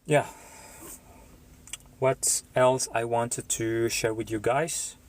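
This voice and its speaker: A man from Morocco, an English tutor chatting live with his viewers, unhurried and pausing often with fillers. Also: medium pitch, steady tone. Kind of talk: live stream chat with viewers.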